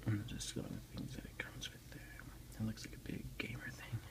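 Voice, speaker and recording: A man speaking in a soft, unhurried, hushed whisper close to the microphone, as an ASMR creator reading aloud and commenting for an unboxing. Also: on the low side, flat delivery, creaky.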